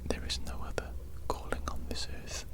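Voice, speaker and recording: A performer playing an evil mad doctor in an ASMR roleplay, whispering deliberately with cold politeness and repeating phrases. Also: close to an everyday voice. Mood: gloating, self-satisfied. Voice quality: breathy unvoiced whisper. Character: evil mad doctor, gleeful, fussy, menacing kidnapper.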